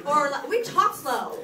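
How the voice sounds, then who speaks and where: A young woman with a Southern US accent answering audience questions on a theater stage into a handheld mic, chatty and self-mocking, laughing often. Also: very high-pitched. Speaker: author at a book signing. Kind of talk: live audience Q&A. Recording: echoey hall, heard from far off. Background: crowd noise.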